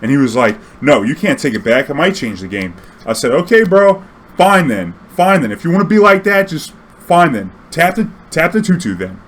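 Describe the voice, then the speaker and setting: A man casually telling an anecdote in a slangy, swearing, animated way, acting out bits of dialogue. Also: fairly high pitch, moderate pace. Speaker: storyteller recounting his own tournament games.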